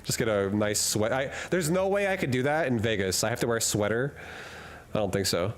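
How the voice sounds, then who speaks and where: A man's voice: medium pitch, expressive, fairly quick. Male game streamer, mid voice, rambling casual banter with chat, desk mic with slight background noise. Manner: rambling and jokey, reads chat aloud and laughs often. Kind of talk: casual live-stream chat while gaming. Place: hot room at home.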